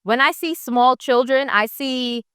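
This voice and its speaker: Upbeat woman from Jamaica, smooth dry voice. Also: high voice, a little slow, fairly bright tone, no room sound. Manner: upbeat, motivational, says "right?" often. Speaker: interview guest, entrepreneur. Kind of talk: casual interview.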